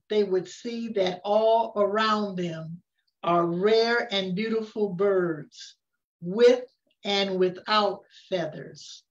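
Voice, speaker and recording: An older woman reading a picture book aloud in an expressive storytelling manner, with dramatic emphasis. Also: medium pitch, muffled recording. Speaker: story reader. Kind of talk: picture-book read-aloud with casual asides.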